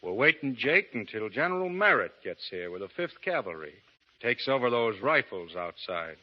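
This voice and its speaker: A cool, steely frontier gunfighter. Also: male voice, mid-range voice, normal speed. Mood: dry, calm. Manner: calm, dry, commanding drawl. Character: cool, steely male frontier gunfighter lawman, middle-aged. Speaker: frontier lawman lead. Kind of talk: 1930s radio drama acting. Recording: muffled 1930s radio broadcast.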